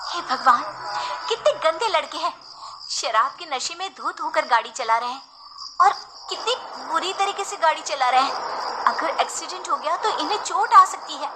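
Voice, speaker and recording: A woman's voice: high voice, animated, normal speed, noisy background, pitched above the performer's own voice. A woman voicing a kind-hearted young woman who exclaims in worry, in a cartoon story. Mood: dismayed.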